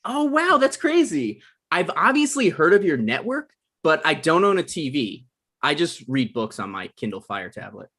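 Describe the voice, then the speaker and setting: A man's voice: a little high, big pitch swings, normal speed, sharp tone, slightly rough, full character voice. A man reading the part of an awkward, evasive man in his mid-thirties bluffing through a date in a comedy table read. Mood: awkward, defensive.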